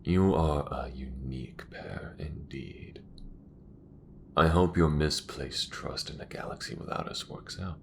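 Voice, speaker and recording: A man playing a proud, bitter old imperial commander near death in a sci-fi audio drama, with a grave, grandiose, measured delivery. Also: a little hiss or hum, full character voice. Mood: challenging, bitter. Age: older. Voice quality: very deep, gravelly.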